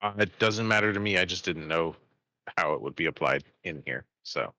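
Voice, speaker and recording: Bright-voiced man playing a tabletop game. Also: low-pitched, moderate pace, no room sound. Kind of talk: casual game chat.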